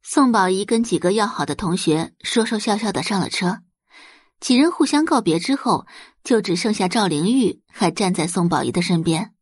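A woman's voice: medium pitch, lively intonation, normal speed, slightly rough, no room sound, clean studio sound. A woman narrating a web novel as a dramatized audiobook, telling the story expressively.

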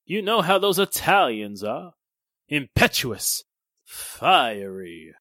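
An actor playing an excitable, evasive businessman suspect in a 1940s-style radio drama, with an emphatic, theatrical delivery. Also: on the high side, slow, no room sound, full character voice. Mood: flustered, insistent. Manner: theatrical, emphatic, faltering when challenged.

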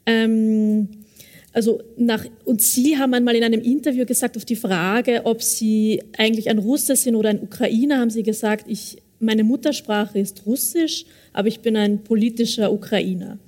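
A woman's voice: a little high, a little mellow. Woman moderating an interview. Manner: measured, with back-channel "mhm"s. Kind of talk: interview questions.

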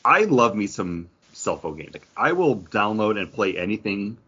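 Husky man with lively, swooping pitch. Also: normal speed, highs cut off. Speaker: podcast host. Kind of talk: casual podcast chat.

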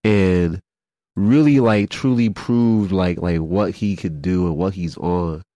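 A man with a very low, deep voice hosts a casual podcast chat while congested. He stumbles through restarts and fillers. The sound is dry and close. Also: warm tone, dry recording. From Philadelphia. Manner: halting, many repeated words and fillers. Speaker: podcast host. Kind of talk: casual radio-style chat.

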